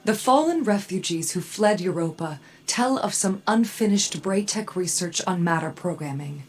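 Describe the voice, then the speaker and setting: An actress playing a weary, proud forge leader in a game cutscene, speaking in a measured, formal way over music. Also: female voice, fairly low pitch, expressive, darkish tone, breathy edge. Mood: resolute. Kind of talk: dramatic game cutscene monologue. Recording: music behind the voice. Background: cinematic music.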